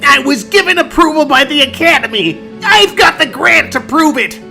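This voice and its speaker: A woman's voice: sits high, lively intonation, moderate pace. A woman voicing a scheming, book-thieving scholar who is hammy, indignant and easily flustered, in a comedic fan dub. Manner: theatrical, blustering, easily flustered. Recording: music behind the voice.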